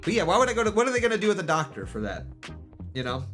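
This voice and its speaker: A man's voice: high voice, huge pitch swings, rapid-fire, a bit bright, faint music behind. A man streamer chatting casually with his viewers in a rambling, defiant way, with lots of "like" and restarts.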